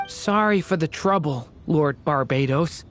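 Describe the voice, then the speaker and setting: A woman's voice: deep voice, expressive, unhurried, soft background music. A woman voicing a polite, curious and earnest girl in a video game.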